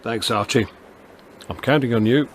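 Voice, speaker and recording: An actor playing a kindly, shrewd older police constable in a radio drama, homely and plain-spoken. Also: male voice, medium pitch, moderate pace, a little hiss or hum, natural voice. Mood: firm, wry. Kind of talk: radio drama acting with some narration.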